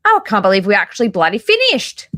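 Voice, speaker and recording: A woman's voice: medium pitch, huge pitch swings, fast, a bit bright, slight background noise. A woman hosting a casual reaction livestream, reading aloud in an informal way with offhand asides. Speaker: livestream host.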